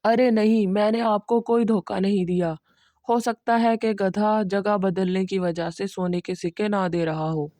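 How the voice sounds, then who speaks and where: A cunning, lazy young cobbler from a cartoon story, played by a woman, always glib and persuasive. The voice is mellow, in a dry recording. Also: mid-range voice, fairly even intonation, measured pace, dry recording, pitched below the performer's own voice, natural voice. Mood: pleading, sly.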